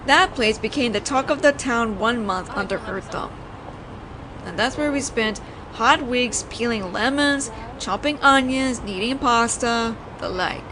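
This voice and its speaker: Woman acting a flirty teasing mafia boss lady, kinda high voice, chatty storyteller, audio roleplay with noisy city street behind her. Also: close to an everyday voice. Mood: fond, wry. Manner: playful, conversational storytelling with coy teasing. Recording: noisy outdoor city ambience, traffic.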